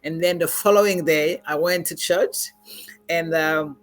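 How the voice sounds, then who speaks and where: Rwandan woman, middle-aged, talks slow and earnest with lots of uhs, interview guest. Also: deep voice, steady tone. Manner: earnest, measured, pauses with many fillers. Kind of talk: personal testimony in a podcast interview.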